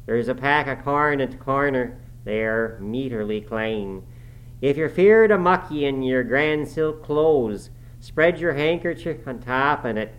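A man voicing a grumbling, pious old manservant in broad Yorkshire dialect, sneering and scolding with drawn-out words. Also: mid-range voice, expressive, voice pushed up, natural voice, poor audio. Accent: broad Yorkshire dialect, put on.

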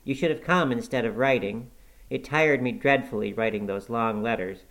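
A man's voice: medium pitch, normal speed, a bit bright, natural voice, poor audio. A man voicing a peevish, sickly, self-pitying boy in an audiobook, with a whiny, fretful and complaining way of talking. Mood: whining, querulous. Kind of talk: audiobook character acting.

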